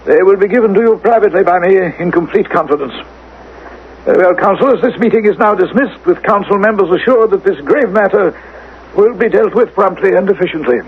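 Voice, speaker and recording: A man playing a grave, commanding ruler of a future world in radio drama, speaking formally and with measured authority. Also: high-pitched, close to an everyday voice. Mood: coldly commanding. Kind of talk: 1980s radio drama acting. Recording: narrow-band old radio recording, faint noise.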